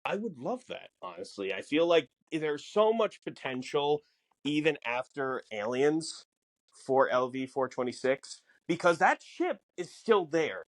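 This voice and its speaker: This is a podcast co-host in relaxed fan discussion. The delivery is musing and questioning, with very animated pitch movement. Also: unhurried. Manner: musing, poses questions aloud.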